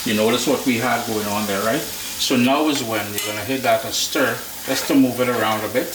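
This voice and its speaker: A man with a Trinidadian accent talks through a cooking lesson in an easygoing, chatty way, tossing in slangy asides. His voice sits at an ordinary middle pitch. The kitchen around him gives the recording a noticeable echo. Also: a little fast, noisy background. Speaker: cooking show host. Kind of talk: casual cooking tutorial.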